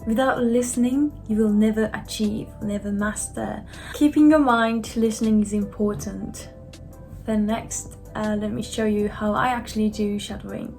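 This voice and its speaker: A woman in her twenties from Japan, a native Japanese speaker with a Japanese accent, presenting a scripted explainer as a language vlogger in simple, deliberate, slightly non-native phrasing. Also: mid-range voice, fairly even intonation, gravelly, a little mellow, soft background music. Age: 20s.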